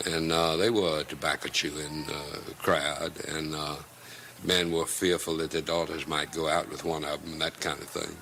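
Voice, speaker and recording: A man's voice: a bass voice, little pitch movement, moderate pace, vocal fry, sharp tone, a little hiss or hum. An older man reminiscing in a recorded interview.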